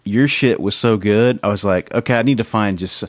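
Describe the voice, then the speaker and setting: A lower-pitched American man talks as a podcast co-host in loose, slangy banter. He is emphatic and informal, and the sound is close and dry with no room around it. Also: moderate pace, no room sound. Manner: informal, profane, emphatic, says "man" and "dude" often.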